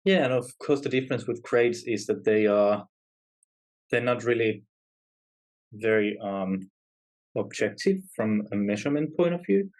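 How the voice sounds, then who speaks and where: Fairly low-voiced man, a guest academic. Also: animated, a little slow, pauses often, darkish tone. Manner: reflective, laughs lightly while explaining. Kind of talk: academic interview conversation.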